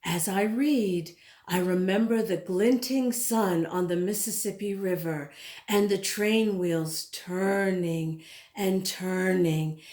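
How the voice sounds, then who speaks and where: A woman reads a picture book aloud for children at a slow, measured pace. Her phrasing is dramatic and deliberate, with words stretched out for emphasis. The recording has a noticeably roomy, echoing sound. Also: on the low side, darkish tone. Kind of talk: expressive storybook read-aloud.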